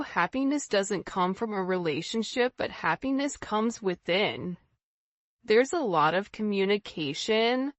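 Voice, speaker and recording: A woman's voice: mid-range voice, no room sound, dull audio. A woman giving an informal tarot reading straight to her viewers, speaking emphatically and conversationally with rhetorical questions. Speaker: tarot reader, channel host.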